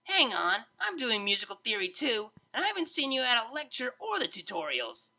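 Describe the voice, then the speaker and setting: A loud, brash pony DJ acted out by a woman in a storybook reading. She sits high and bright, with a slangy, mocking, hot-tempered delivery that is always ready for a fight. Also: wildly swooping pitch, a little fast, full character voice. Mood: combative.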